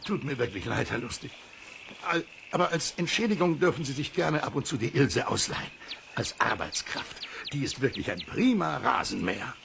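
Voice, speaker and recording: Casting: sheepish middle-aged neighbour man, gravelly creak, polite and hesitant, kids' audio drama with faint outdoor sounds. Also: mid-range voice, animated, moderate pace, a bit bright, slight background noise. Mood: apologetic. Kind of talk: German children's radio play acting.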